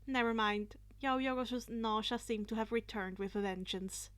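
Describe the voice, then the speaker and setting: A woman narrating fan fiction in an expressive storytelling style. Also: a little high, normal speed.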